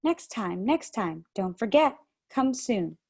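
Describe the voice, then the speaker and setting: A woman's voice: fairly high pitch, sing-song, takes it slowly, creaky, a little mellow, heavily acted. A woman reading aloud, voicing a queenly witch who speaks in a sweetly coaxing way.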